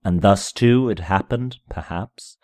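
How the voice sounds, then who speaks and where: A man with a fairly low voice narrates a gothic tale in a dry, close sound. His delivery is measured and theatrical, with solemn weight and hushed drops. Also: dry recording. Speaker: amateur reader of a short story. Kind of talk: dramatic scripted narration of a gothic horror tale.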